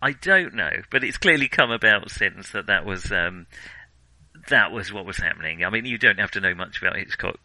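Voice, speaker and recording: A man's voice: fairly low pitch, lively intonation, moderate pace, pushed and bright, no echo, low-quality recording. A man co-hosting a casual film discussion, hesitant as he thinks his way aloud through his opinions. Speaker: film podcast co-host.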